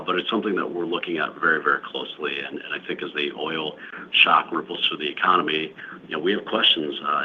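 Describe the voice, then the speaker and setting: Very deep-voiced male executive on a call. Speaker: company executive answering analysts. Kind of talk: earnings call question-and-answer. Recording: dull lo-fi call audio, faint noise.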